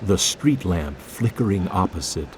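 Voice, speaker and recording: A man's voice: deep voice, big pitch swings, a little slow, darkish tone, slight background noise. A man narrating a classic horror story in a dramatic, suspenseful, theatrical way. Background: music.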